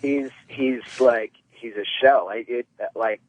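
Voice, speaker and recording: A man heard in a played radio clip, describing what he saw in a halting way. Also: mid-range voice, fairly even intonation, a little hiss or hum, poor audio.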